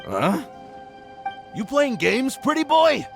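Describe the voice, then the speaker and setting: An actor voicing a rough, edgy bandit lackey in a video game, with a gravelly voice. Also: male voice, very high-pitched, very animated, slow, over loud music, full character voice. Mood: menacing.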